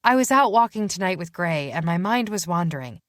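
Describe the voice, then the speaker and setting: A woman reads as a spirited retired detective, a woman who talks fast and insistently when she is excited. Her voice sits fairly low and dry, with no room sound around it, and it is read like an audiobook. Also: dry recording, close to an everyday voice. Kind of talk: audiobook character dialogue.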